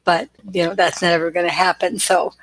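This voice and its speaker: Shy woman guest talking quietly on a podcast, dry mic. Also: on the low side, little pitch movement, moderate pace, no echo. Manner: shy, soft-spoken. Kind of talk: casual podcast chat.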